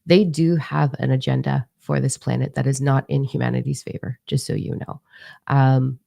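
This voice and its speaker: A woman's voice: rumbling low, level tone, normal speed, gravelly, dark tone, no room sound. A woman talking casually about channeling, rambling with many ums and restarts; her first language is Italian. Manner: rambling, chatty, frequent ums and self-corrections. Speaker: spiritual channeler. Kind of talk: casual monologue to camera.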